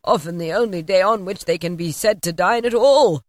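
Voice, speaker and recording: A woman reading an audiobook and voicing an old male miser who asks hesitant, halting questions. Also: on the low side, dry recording, studio quality, close to an everyday voice. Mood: questioning. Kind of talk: audiobook character voice.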